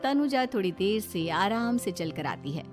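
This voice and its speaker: A woman's voice: medium pitch, expressive, unhurried, darkish tone, soft background music. A woman narrating a scripted cartoon story as its storyteller.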